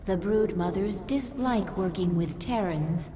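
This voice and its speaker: A woman's voice: mid-range voice, unhurried, crowd noise, natural voice. A woman voicing a deferential alien servant of a swarm queen in a video game, formal and submissive. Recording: long reverb over noisy ambience.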